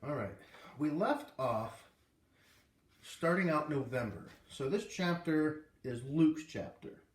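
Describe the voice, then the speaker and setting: A man reading a children's novel aloud in an expressive, animated way, with casual asides. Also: a little high, takes it slowly. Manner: animated, puts on character voices. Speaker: read-aloud host. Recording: roomy, distant microphone.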